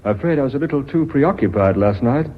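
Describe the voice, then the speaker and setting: A man's voice: mid-range voice, lively intonation, fast talker, lightly acted. A man acting in a radio drama as a flippant, cynical womaniser who flirts persistently. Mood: flirtatious. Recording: muffled lo-fi radio recording, faint hiss.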